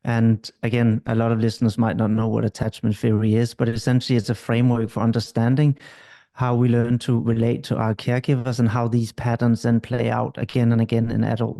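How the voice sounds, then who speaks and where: A middle-aged man from Denmark, a couples therapist explaining at length in an interview, calm and measured. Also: mid-range voice, vocal fry.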